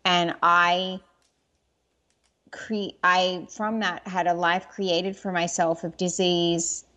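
A woman speaking as an interview guest, earnest and candid, halting at times with restarts as she reflects on personal experiences. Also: on the low side, little pitch movement, sharp tone, dull audio.

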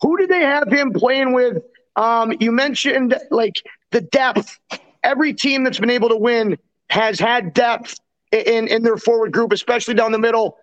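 A man's voice: very high voice, moderate pace, a bit bright, dull audio. A man hosting a hockey podcast, talking casually and in slang like a chummy former player. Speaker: podcast host. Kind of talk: casual sports podcast talk.

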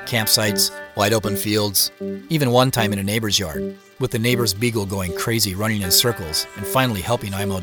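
Audio drama lead, male actor: guarded, playful CEO brother, conversational first-person narration, lowish pitch, light music underneath. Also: natural voice.

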